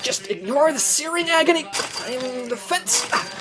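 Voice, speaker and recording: A man acting as an earnest, squeaky-clean special agent in a comedic audio drama, fussy and stammering as he mutters to himself. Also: expressive, unhurried, loud music behind the voice. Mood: strained.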